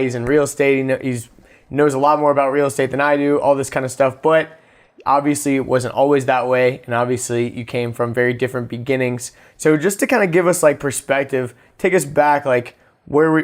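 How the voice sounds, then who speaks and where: A man's voice: medium pitch, fairly even intonation, fairly quick. A young man hosting a podcast, speaking in an informal, friendly, rambling way. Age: young adult. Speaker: podcast host. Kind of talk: casual podcast interview.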